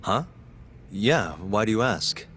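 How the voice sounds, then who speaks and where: A laid-back detective in a crime drama game. His voice is low and slow with lively inflection, the delivery casual and blunt. Music sits behind him. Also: husky, exaggerated voice. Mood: puzzled. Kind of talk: English video game dub acting.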